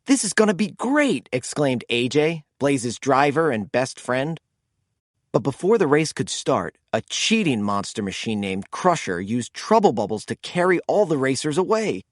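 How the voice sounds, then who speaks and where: A man narrating a children's storybook in an expressive, animated read-aloud style. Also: mid-range voice, measured pace, dry recording, studio quality.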